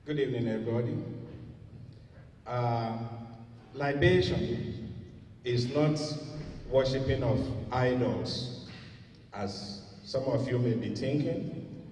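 A middle-aged man speaks slowly and deliberately, explaining like a preacher, with a mellow, dark tone. He holds a handheld mic on a large hall stage, and he sounds far off, with a long reverb. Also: on the high side, a little hiss or hum. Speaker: libation speaker at a gala. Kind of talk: ceremonial speech.

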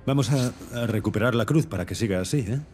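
An actor playing a confident, quick-thinking male adventurer and thief in a game cinematic, with a casual, conversational delivery. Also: fairly low pitch, darkish tone, soft background music, close to an everyday voice. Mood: light. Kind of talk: game cinematic acting, Spanish dub.

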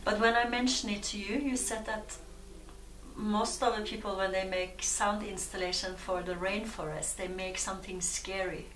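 A woman interviewing a musician, asking questions in a gentle, low-key way. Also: fairly even intonation, normal speed, recorded from a distance, slight background noise. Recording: roomy, from off-mic.

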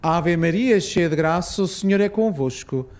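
A man with a European Portuguese accent leading a rosary, reciting solemn prayers in a measured, reverent way. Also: sits high, lively intonation, a little mellow.

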